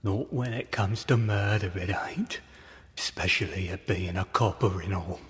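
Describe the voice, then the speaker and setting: A man's voice: low-pitched, normal speed, long reverb tail. A man voicing a frightened, coarse working-class grave robber in an audio drama, agitated and pleading. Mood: nervous protest. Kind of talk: audio drama character acting. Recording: loud music under the voice.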